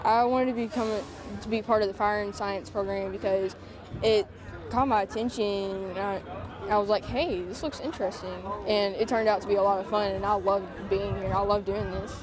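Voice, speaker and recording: A woman's voice: medium pitch, fairly even intonation, normal speed. A woman who is a fire science student, talking enthusiastically in an interview outdoors. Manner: enthusiastic, chatty. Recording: crowd noise outdoors, mic at a distance.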